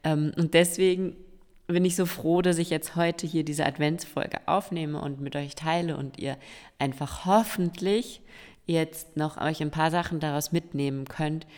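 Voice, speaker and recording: A woman of around forty, hoarse and stuffy-nosed, hosting a solo podcast and encouraging her listeners directly. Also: deep voice, steady tone, a little slow, a little mellow. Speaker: podcast host. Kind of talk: solo podcast monologue giving tips. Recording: long reverb with faint music.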